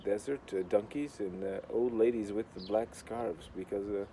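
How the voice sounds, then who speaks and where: A man from Portugal with a Portuguese accent rambles casually as a video host, with a deadpan, sardonic, sweary manner. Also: fairly low pitch, normal speed. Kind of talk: casual rambling talk to camera. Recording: clear outdoor urban construction noise. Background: noise of building works.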